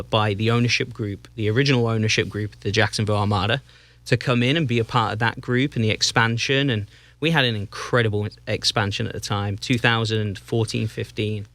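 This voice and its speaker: A man's voice: fairly low pitch, fairly even intonation, fairly bright tone, dry recording. Easygoing English man with a dry sound. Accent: English, Northampton area. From England. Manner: easygoing, halting with restarts and fillers. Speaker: guest, soccer club president. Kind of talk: casual interview chat.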